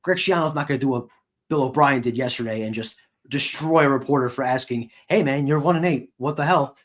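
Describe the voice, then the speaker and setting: A man's voice: medium pitch, brisk. Male sports podcast co-host and beat reporter, mid-range voice, casual conversational analysis.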